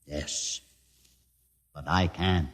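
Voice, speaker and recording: An actor playing a solemn male mutant leader with a twisted leg, telling a grave history in a measured way, in a 1950s radio drama. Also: very deep voice, steady tone, heavily acted. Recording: old radio broadcast with long reverb.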